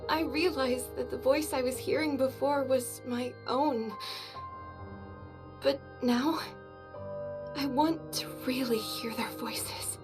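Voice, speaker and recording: High-voiced, gentle, devout young woman. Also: fairly even intonation, takes it slowly, music in the background. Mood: solemn, wistful. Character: gentle, devout young woman warrior who prays for the dead. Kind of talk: video game voice acting.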